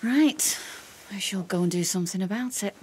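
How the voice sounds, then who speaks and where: An actress playing a hopeful, forgiving wife in a radio drama, speaking softly and intimately. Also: female voice, mid-range voice, normal speed, a little hiss or hum, lightly acted. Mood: affectionate. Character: hopeful, forgiving wife taking her husband back. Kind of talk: naturalistic radio drama acting.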